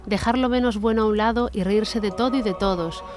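A woman's voice: mid-range voice, a little fast, a little mellow. A woman reading scripted narration for a radio play.

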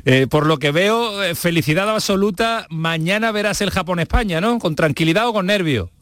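Male radio host, Andalusian accent, slightly high voice, dry sound. Bantering, casual interviewer. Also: lively intonation, normal speed, fairly bright tone. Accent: Andalusian Spanish. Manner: jovial, bantering, echoes guests' words.

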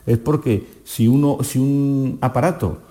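A man with a dark, mellow voice speaks as a medical guest on the radio. He explains in a didactic way, repeating key phrases to drive them home. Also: mid-range voice, unhurried. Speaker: doctor, voice specialist guest.

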